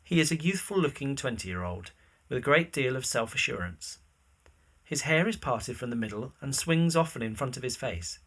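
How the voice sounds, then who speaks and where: A man's voice: medium pitch, animated, moderate pace, fairly bright tone, slightly rough. A man narrating a scripted audio drama in the present tense, describing scenes and actions.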